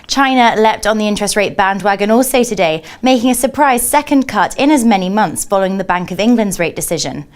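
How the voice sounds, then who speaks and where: A woman's voice: medium pitch, animated, fairly quick, hardly any pauses. A woman working as a television journalist, delivering scripted questions in a broadcast news interview.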